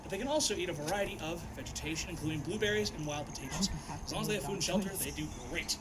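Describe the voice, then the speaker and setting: A man acting as a wildlife tour guide, talking in a loud, projecting, informative way to visitors outdoors, recorded on a visitor's camera. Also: fairly high pitch, lively intonation, very fast. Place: outdoors at animal enclosures. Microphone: visitor's camera from among the tour group. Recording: outdoor ambient noise.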